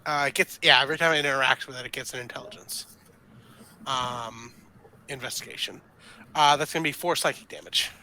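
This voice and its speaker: A player in a tabletop roleplaying game, chatting informally and thinking aloud in a halting way while describing moves. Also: medium pitch, bright, forceful tone. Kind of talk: casual game chat.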